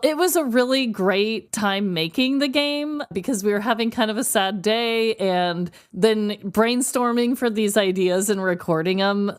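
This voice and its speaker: A woman's voice: medium pitch, unhurried, hardly any pauses, clear, resonant tone. A woman co-hosting a podcast, chatting casually and enthusiastically as she runs a game. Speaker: podcast co-host. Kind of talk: casual game-show chat.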